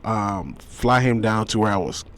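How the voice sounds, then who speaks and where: A man who is a guest musician, chatting casually in an interview, animated and repeating phrases for emphasis. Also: on the low side, a little slow. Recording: faint background noise.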